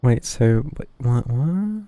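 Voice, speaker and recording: Male streamer, dark mellow tone, mid pitch, casual muttered game commentary. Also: very animated, slow, no room sound. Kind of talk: casual live playthrough commentary.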